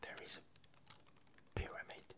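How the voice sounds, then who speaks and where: A young woman with a French accent chatting in whispered ASMR style, hesitant and pausing often. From France. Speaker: ASMR video creator.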